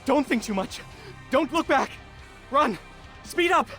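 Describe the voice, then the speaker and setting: A man's voice: very high voice, lively intonation, unhurried, fairly bright tone, soft background music, heavily acted. A man voice actor playing a shaken but protective young man in a video game horror scene, with urgent, dramatic delivery.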